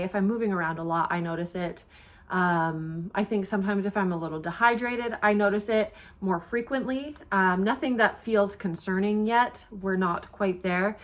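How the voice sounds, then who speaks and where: Congested young woman, chatty vlog. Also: mid-range voice. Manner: chatty, relaxed, many ums and likes. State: congested, stuffy nose. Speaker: pregnancy vlogger. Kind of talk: casual talk to camera.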